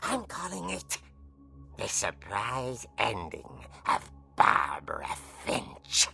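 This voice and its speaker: Creaky old horror comic narrator, hammy n drawn out, slow w/ sharp bright edge, music under. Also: mid-range voice, huge pitch swings, full character voice. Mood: gleefully macabre. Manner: hammy, drawn-out dramatic delivery. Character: ghoulish old horror-comic host, gleefully macabre storyteller. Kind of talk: theatrical horror tale narration.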